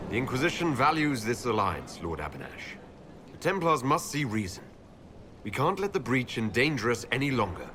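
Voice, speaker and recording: An actor playing a resolute, diplomatic leader in a video game, speaking directly and earnestly. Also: male voice, medium pitch, big pitch swings, moderate pace, noisy background, lightly acted. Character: resolute, diplomatic young hero leading a faction. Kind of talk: video game dialogue acting.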